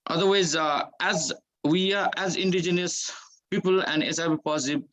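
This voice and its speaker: A man with a Nepali accent and a fairly high voice answers as a workshop panelist. English is not his first language, so his speech is halting, with pauses and restarts while he searches for words. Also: steady tone, fairly bright tone, rough recording.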